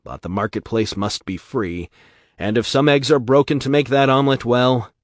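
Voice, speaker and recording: A man's voice: mid-range voice, moderate pace, no echo, studio quality, pitched above the performer's own voice, lightly acted. A man voicing a stern, powerful older businessman who lectures in a clipped, firm way.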